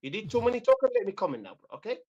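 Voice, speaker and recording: Fast combative man of Yemeni origin. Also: sits high, very animated, darkish tone, highs cut off. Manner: combative, interrupting, calls others "bro". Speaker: debater. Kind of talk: heated online debate.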